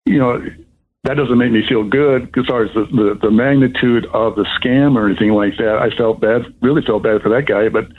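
An older man, sixties or above, giving an unscripted, rambling personal account as a caller, in a halting way. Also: mid-range voice, phone-quality sound, slight background noise. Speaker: caller telling of being scammed.

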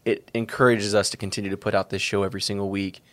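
A man co-hosts a podcast in an earnest, encouraging tone. His voice sits somewhat low, and the sound is dry and close. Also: little pitch movement, quick pace, fairly bright tone, no echo. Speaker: podcast co-host. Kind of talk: podcast hosting.

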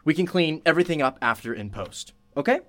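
A man's voice: fairly high pitch, expressive, a little fast, fairly bright tone. A man playing a chatty, courteous radio show host interviewing a witness in a radio play, effusive and apologetic. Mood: chatty, upbeat.

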